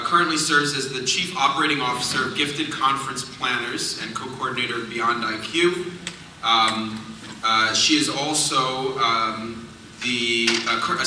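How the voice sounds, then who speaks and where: A man moderates a panel, introducing a guest haltingly with many fillers. He sounds far from the mic in a very echoey hall, with clear background noise. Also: mid-range voice.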